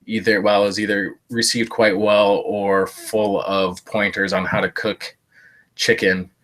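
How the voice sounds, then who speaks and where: A man's voice: fairly low pitch, flat delivery, measured pace, fairly bright tone. Stuffy-nosed man rambling through a haul. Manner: rambling, lots of "uh" fillers, self-deprecating jokes. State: stuffy, congested. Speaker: thrift reseller streaming a haul. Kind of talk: casual live-stream chat. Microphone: live-stream setup.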